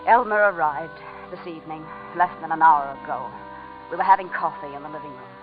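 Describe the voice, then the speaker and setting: A woman's voice: sits low, a little fast, phone-quality sound, natural voice. Female actor as desperate, bitter woman, grave 1940s radio melodrama, dulled vintage sound under music. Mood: grave, resigned. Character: desperate, bitter woman narrating her doom, composed then anguished. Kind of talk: 1940s radio drama acting.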